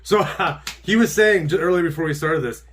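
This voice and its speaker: Playful co-host dude, slightly higher voice, always ribbing his buddy. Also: brisk, a crowd in the background. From Canada. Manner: joking, needling sidekick. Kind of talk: casual chat.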